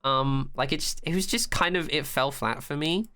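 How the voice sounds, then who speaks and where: A podcast host chatting casually about a TV show in an animated, sweary way, often acting out quotes and sounds. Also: mid-range voice, normal speed. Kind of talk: casual chat, fan review of a TV episode.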